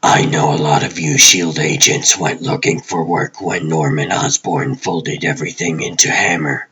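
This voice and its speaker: A man voicing a guarded, commanding TV producer who is secretly a superhero in a comic dub, speaking tersely and deliberately in a husky, rough voice. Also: very deep voice, steady tone, sharp tone, dull audio. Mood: serious, secretive.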